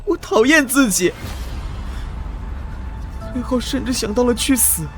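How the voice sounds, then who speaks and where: A man playing a troubled teenage boy full of self-loathing, in an animated drama. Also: very high-pitched, a little slow, exaggerated voice. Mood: anguished. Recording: loud background music under the voice.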